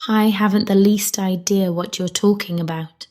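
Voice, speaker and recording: A woman's voice: medium pitch, fairly even intonation, normal speed, warm tone, faint music behind, lightly acted. Storybook little girl, polite and earnest, read by a woman. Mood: indignant. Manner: indignant, truthful.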